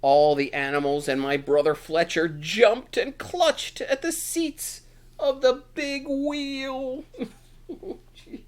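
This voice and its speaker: A man's voice: extremely high pitch, slow pace. A man reading a children's picture book aloud in a playful, exclamatory manner with dramatic emphasis. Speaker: storytime reader.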